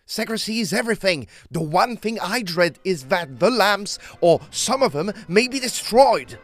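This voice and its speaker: Agitated, wildly expressive gentleman explorer. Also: sits high, normal speed. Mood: excited. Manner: emphatic, formal old-fashioned diction, dramatic. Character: agitated, proud male explorer, a gentleman Egyptologist of old. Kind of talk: gothic audio drama acting.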